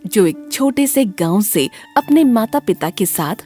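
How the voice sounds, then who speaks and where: Woman narrating an animated spooky story. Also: mid-range voice, a little slow, soft background music. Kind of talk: scripted storybook narration for animated horror tales.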